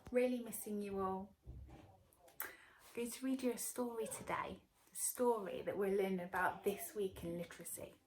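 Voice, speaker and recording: A woman teacher talking to young children and reading them a story aloud in an expressive way. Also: mid-range voice, normal speed, slight background noise, poor audio. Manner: expressive, addressing small children. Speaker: early years teacher.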